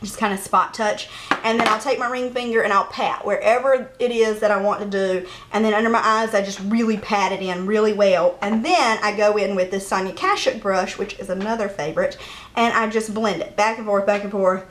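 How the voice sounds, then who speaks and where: A woman's voice: medium pitch, a little fast, a little hiss or hum. A young woman vlogging about her monthly beauty favorites in a chatty, rambling way, full of "like" and "um". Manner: chatty, apologetic, rambling with many "like" and "um". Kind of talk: casual monthly favorites vlog.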